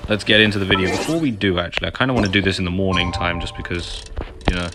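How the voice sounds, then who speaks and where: A man giving casual playthrough commentary as a gaming video host, informal and self-deprecating. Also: on the low side. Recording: voice-over with loud game music behind.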